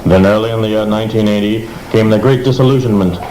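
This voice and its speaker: A man's voice: on the low side, steady tone, fast, lightly acted. A man playing a solemn, portentous narrator who opens a parody science-fiction radio serial with grave, dramatic phrasing. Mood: ominous. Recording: roomy, noisy old cassette recording.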